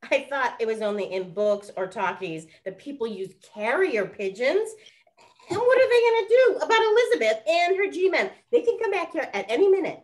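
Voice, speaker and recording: An actress playing an excitable, incredulous lady of the 1920s in a comic period drama. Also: high-pitched, normal speed. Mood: incredulous, curious. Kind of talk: period comedy radio-play acting.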